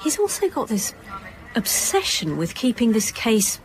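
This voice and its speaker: A woman playing a senior police inspector in a radio drama, brisk and sceptical, with a dry, authoritative way of talking. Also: on the high side, sing-song, slight background noise. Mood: dry, thoughtful. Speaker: actor in an audio drama. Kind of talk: naturalistic radio drama dialogue.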